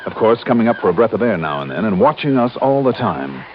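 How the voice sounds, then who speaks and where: An actor playing a wry, inquisitive insurance investigator who also narrates, in an old time radio detective drama. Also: male voice, on the low side, sing-song, fast. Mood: vivid narrating. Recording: muffled, hissy old radio recording.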